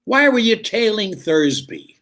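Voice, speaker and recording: An actor playing a stern, suspicious police lieutenant in a radio drama, questioning bluntly with a husky voice. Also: male voice, sits high, wildly swooping pitch, unhurried, a bit bright, full character voice. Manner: blunt interrogating delivery. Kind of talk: old-time radio drama acting. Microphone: video call.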